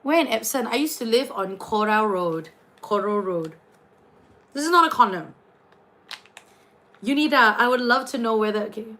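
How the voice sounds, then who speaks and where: A woman's voice: a little high, normal speed, long pauses. A young woman with a New Zealand accent, chatting casually with viewers during a late-night livestream as a tarot and astrology reader. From New Zealand, raised partly in Singapore. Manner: chatty, animated, reading out viewer names. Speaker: tarot and astrology reader hosting a live stream.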